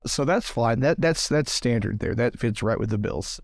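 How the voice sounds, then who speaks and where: A man's voice: medium pitch, expressive, normal speed, no room sound, clean studio sound. A man playing a tabletop game, chatting casually and thinking aloud with a joking manner.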